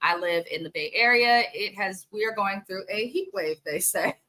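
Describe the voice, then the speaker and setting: A woman's voice: mid-range voice, moderate pace, bright, forceful tone. A woman hosting a casual, frank reality TV recap, chatting straight to her viewers and sniffly from allergies. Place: home in the Bay Area.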